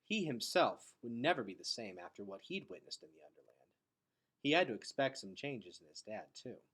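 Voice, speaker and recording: Expressive man reading a story aloud. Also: mid-range voice, normal speed, breathy edge. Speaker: read-aloud reader.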